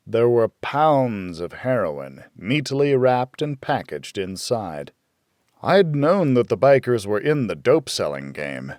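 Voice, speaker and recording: Male audiobook narrator for a dark first-person thriller, dramatic and deliberate, with lively pitch movement in a dry studio sound. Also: no room sound. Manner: deliberate, brooding, dramatic storytelling.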